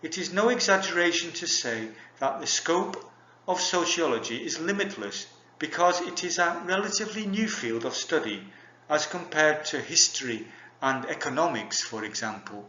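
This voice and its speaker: Male lecturer, slightly raised pitch, formal scripted delivery, roomy muffled sound. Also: expressive, normal speed, sharp tone, slight background noise. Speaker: university lecturer. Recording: echoey dull lo-fi recording.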